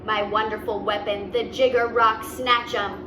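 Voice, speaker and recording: A woman's voice: medium pitch, little pitch movement, moderate pace, natural voice. Woman reading as menacing, boastful storybook rival. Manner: threatening, boastful. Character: rude, menacing storybook rival soldier with a weapon. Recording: roomy, distant mic, clear background noise.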